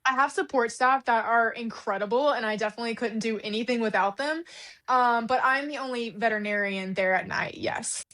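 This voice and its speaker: Young vet from louisiana, highish voice, easygoing and laughy in a chat. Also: female voice, fairly quick, a bit bright. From Louisiana, USA. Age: young adult. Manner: friendly, laughs often, modest. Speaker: guest, emergency veterinarian. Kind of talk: casual interview chat.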